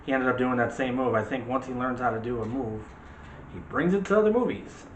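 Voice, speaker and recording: A man's voice: medium pitch, a little fast. Man hosting in an echoey room. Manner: rambling, self-correcting. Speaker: host of a film discussion show. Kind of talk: casual movie discussion. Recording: roomy echo with faint background noise.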